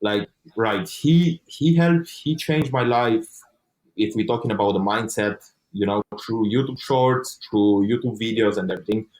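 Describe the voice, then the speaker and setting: A man's voice: lowish voice, normal speed, darkish tone, low-quality recording. A young man telling his own story in an interview, speaking English as a second language, earnest, with frequent restarts and casual swearing. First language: not English. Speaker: interview guest.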